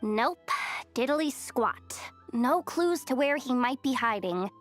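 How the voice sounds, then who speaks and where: A woman's voice: sits high, expressive, takes it slowly, faint music behind. A woman playing a brash, breezy young adventurer in a video game, talking in a casual, slangy way. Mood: frustrated.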